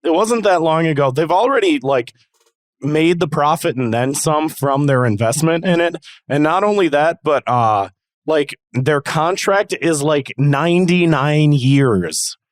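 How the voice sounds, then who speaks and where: A man's voice: a little high, animated, measured pace, dry recording. Male podcast co-host, sick and losing his voice; rambling casual explainer, dry close sound. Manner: rambling, explanatory, lots of fillers. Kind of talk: casual chat.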